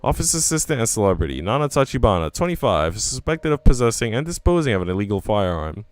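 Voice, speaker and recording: A man's voice: on the low side, big pitch swings, quick pace, no room sound, faint music behind. A man voicing an anxious young woman who narrates her troubles in a visual novel, dramatic and self-questioning. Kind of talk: visual novel first-person reading.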